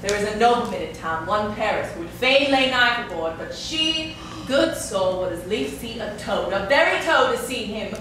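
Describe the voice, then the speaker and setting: A woman's voice: medium pitch, expressive, normal speed, fairly bright tone, natural voice. A woman playing a chatty, teasing old nurse in classical stage drama, rambling and exclaiming theatrically. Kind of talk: classical stage acting of Shakespeare. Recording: echoey room, distant mic, faint noise.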